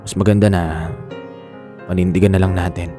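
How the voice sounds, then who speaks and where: An actor with a Filipino accent playing a steady young boyfriend in radio drama dialogue, reassuring and firm but resigned. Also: male voice, low-pitched, normal speed, music in the background, lightly acted. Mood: consoling.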